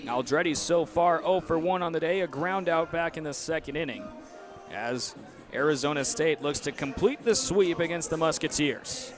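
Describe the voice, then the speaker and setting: A young man with an American accent calls a baseball game live. His voice sits somewhat high. He speaks into a headset mic as a play-by-play announcer. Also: normal speed, over music. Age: young adult. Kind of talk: live sports commentary.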